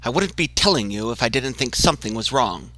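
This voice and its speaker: A man's voice: medium pitch, expressive, fairly quick, bright, forceful tone, dry recording. A man voicing a frightened middle-aged haunted house owner who talks nervously and insistently. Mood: worried.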